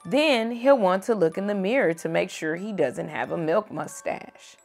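A young woman reading a picture book aloud to a child at home, gentle and expressive. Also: on the low side, moderate pace, creaky, soft background music. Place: home bedroom, indoors.

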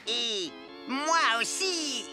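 Earnest, slightly timid little boy for animation; high pitch, over music. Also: lively intonation, extremely slow. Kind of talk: French cartoon dubbing.

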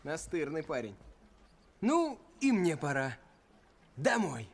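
A high-voiced young folk-tale hero. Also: male voice, big pitch swings, slow, heavily acted. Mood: easygoing. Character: earnest, good-hearted young folk-tale musician hero. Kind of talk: animated film acting. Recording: film music behind voice.

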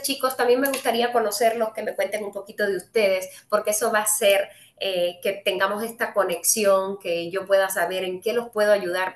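A woman's voice: mid-range voice, roomy sound. A woman from Cuba with a Cuban Spanish accent, hosting a live trading webinar, talking to viewers in a friendly way with many "eh" fillers. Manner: friendly, addresses viewers directly, frequent "eh" fillers. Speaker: webinar host and trading speaker.

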